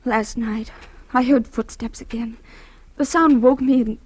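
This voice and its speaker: A woman's voice: on the high side, steady tone, measured pace, breathy edge. An actress playing an anxious, hesitant young woman who fears for her sanity, in the style of 1940s radio drama. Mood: fearful. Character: frightened, high-strung young woman of twenty-three fearing madness. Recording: old radio recording with music.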